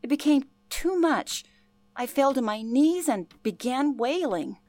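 A woman's voice: sits high, lively intonation, a little slow, darkish tone, dry recording. An actress playing an older former telephone operator, telling her past in an earnest, genteel way for a radio play. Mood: emotional recollection. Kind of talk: radio play, interview-style reminiscence.

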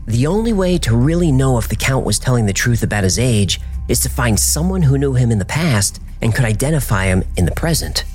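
A man telling a legend as scripted documentary narration, his voice rising and dropping sharply for drama. His pitch sits in the middle, and faint music plays behind him. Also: very animated, brisk, hardly any pauses, a little mellow. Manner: dramatic storytelling with wide pitch swings.

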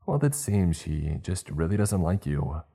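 A man's voice: low voice, big pitch swings, a little slow, warm tone, slightly rough, full character voice. A man voicing a big cultist who turns down an offer with regret. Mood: regretful. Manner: apologetic, matter-of-fact.

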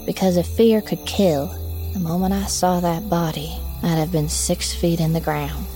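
A woman narrating a horror story in the first person as a shaken daughter looking back, with measured, reflective phrasing. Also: on the low side, fairly even intonation, warm tone, soft background music, close to an everyday voice. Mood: anxious, reflective. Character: shaken daughter recounting a frightening childhood memory.

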